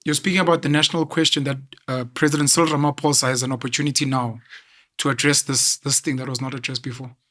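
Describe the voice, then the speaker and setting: A man from South Africa hosts a sit-down interview. He asks careful, probing questions at a measured pace. His voice sits at a middle pitch. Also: steady tone, bright, forceful tone. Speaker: interviewer host. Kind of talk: interview conversation.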